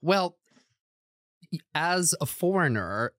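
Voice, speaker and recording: A man's voice: a little high, sing-song, a bit bright, no echo, clean studio sound. A man from Croatia, co-hosting a podcast in casual chat, joking and self-deprecating. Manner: joking, self-deprecating, quick asides with frequent laughs. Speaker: podcast co-host.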